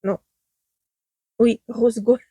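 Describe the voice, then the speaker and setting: Woman, non-native speaker, makeup vlog, slow unhurried talk, fairly high voice, dry close sound, lots of fillers. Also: steady tone, mellow, slightly rough, dry recording. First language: not French. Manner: hesitant, many fillers and restarts; enthusiastic superlatives. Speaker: beauty vlogger. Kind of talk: casual makeup review to camera. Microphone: own microphone, at times off.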